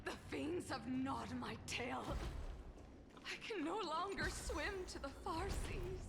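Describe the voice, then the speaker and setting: A woman's voice: high-pitched, animated, slow pace, vocal fry, exaggerated voice. A woman voicing an anguished, pleading sea siren in a video game, with a wailing theatrical manner. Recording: loud music over the voice.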